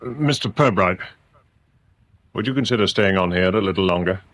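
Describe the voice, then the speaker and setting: An actor playing a prickly, sardonic local chief inspector in a radio drama, with a halting, sarcastic way of talking. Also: male voice, deep voice, a bit bright. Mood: troubled, confiding. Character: sardonic, troubled local police chief inspector, married man.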